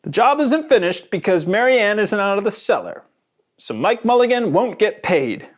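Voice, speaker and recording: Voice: medium pitch, big pitch swings, moderate pace, voice pushed up, close to an everyday voice. A reader voicing a mean, smug townsman in a children's storybook. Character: mean, grudging townsman with a sly smile. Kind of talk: children's storybook read-aloud.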